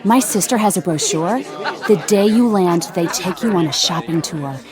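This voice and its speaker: An actress playing a giddy, chatty female tourist in a radio drama, gushing with excitement. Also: lowish voice, normal speed, a crowd in the background, natural voice. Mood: giddy, excited.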